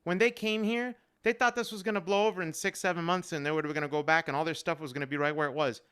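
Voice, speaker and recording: Clear-voiced man telling stories earnestly. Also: fairly high pitch, steady tone, fast, a bit bright. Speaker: panel guest. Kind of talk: earnest personal storytelling in a live online panel. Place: remote live-stream video call.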